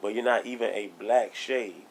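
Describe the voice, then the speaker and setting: A man's voice: fairly low pitch, steady tone, normal speed, a little hiss or hum. A man co-hosting a casual podcast, blunt and argumentative, laughing as he makes his points. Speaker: podcast co-host.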